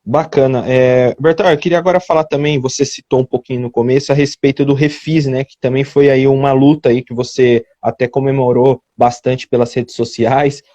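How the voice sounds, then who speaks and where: A man's voice: medium pitch, steady tone, normal speed, few pauses, dark tone, dry recording. A man with a Brazilian Portuguese accent interviewing a politician, asking long questions with hesitations. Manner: hesitant, many fillers and restarts.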